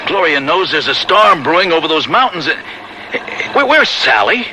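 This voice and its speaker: A man's voice: a little high, very animated, normal speed. An American man playing a worried, agitated onlooker in a radio drama. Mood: worried, incredulous. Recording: noisy old radio drama recording.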